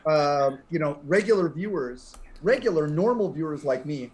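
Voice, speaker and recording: A man's voice: fairly high pitch, animated, moderate pace, darkish tone. A man chatting in a casual, joking way as a show contributor.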